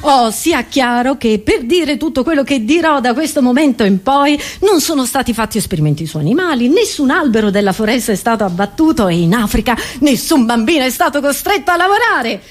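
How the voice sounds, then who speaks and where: A woman's voice: a little high, lively intonation, brisk, hardly any pauses. A woman comedian performing a satirical, conversational monologue in a theatrical way, often interrupting herself with rhetorical questions.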